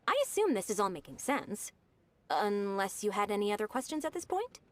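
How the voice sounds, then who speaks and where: A woman plays an efficient young female secretary from a game. Her delivery is very animated, swinging up and down in pitch. She is formal, articulate and businesslike, with an eager, deferential manner toward her employer. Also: fairly quick, dry recording, lightly acted. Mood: brisk, instructive. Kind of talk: scripted game dialogue.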